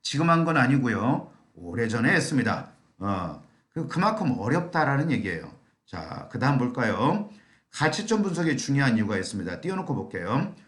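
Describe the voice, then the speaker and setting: Middle-aged male consultant, tired husky throat, animated intonation, explaining to a seminar in an echoey room. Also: mid-range voice, normal speed. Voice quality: slightly hoarse, thick voice. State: strained throat from long talking. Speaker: admissions consultant giving a lecture.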